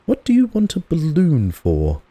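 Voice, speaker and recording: A wide-eyed little boy for a storybook. The voice is very high and lilting, full of innocent questions, and dryly recorded. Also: huge pitch swings, measured pace, dark tone, no room sound, exaggerated voice. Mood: curious, awed.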